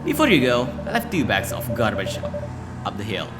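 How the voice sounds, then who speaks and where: A brusque, wary older woman caretaker in visual novel dialogue, acted out by a man. Her speech is halting and lively in pitch, with loud music underneath. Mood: curt, bossy.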